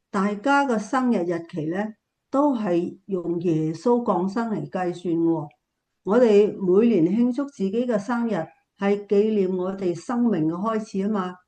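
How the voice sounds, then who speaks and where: Woman as a mellow, patient Cantonese relative. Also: mid-range voice, natural voice. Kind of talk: radio drama acting.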